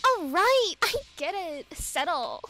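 A woman's voice: extremely high pitch, big pitch swings, slow pace, bright, forceful tone, heavily acted. A woman voicing a sly, mocking female killer robot in a cartoon fan dub. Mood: exasperated. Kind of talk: animated series fan dub acting. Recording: clearly audible music behind.

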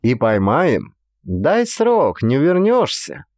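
A man's voice: mid-range voice, wildly swooping pitch, slow pace, a little mellow, no echo, clean studio sound. A man voicing a jovial, needling fellow who teases and presses his companion, in a dramatised audiobook. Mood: taunting. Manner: teasing, mirthful, pressing. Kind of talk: dramatised audiobook character acting.

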